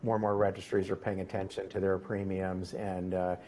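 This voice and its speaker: A man hosting a conversational podcast interview, phrasing things in a halting, roundabout way. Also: sits low, flat delivery, dark tone, a little hiss or hum.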